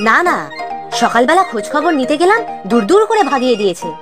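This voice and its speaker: A whiny young cartoon boy, very high and swooping in pitch. He wheedles and sulks like a picky child, over background music. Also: sing-song, fast talker. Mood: pleading. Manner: wheedling, sulky. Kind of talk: Bengali children's cartoon acting.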